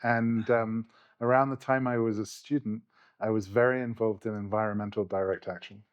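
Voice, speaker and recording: A man's voice: fairly low pitch, fairly even intonation, normal speed, smooth, dull audio. A man who grew up in the UK, a guest researcher speaking in a thoughtful interview, often restarting his sentences. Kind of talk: conversational interview.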